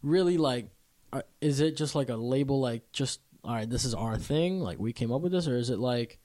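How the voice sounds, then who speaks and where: A man's voice: medium pitch, expressive, normal speed, mellow. Laid-back slangy man interviewing. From Dominican heritage. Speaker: interviewer, host. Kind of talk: casual interview chat.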